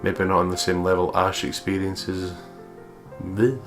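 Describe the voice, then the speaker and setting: A man reads game narration and comments casually. His voice is very low, with a gravelly fry and a flat pitch range. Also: measured pace. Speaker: let's play co-host. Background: game music.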